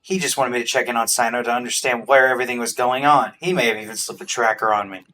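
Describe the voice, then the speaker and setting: Quick, bright-voiced male game streamer. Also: mid-range voice, fairly even intonation, slight background noise. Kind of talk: casual game reaction commentary.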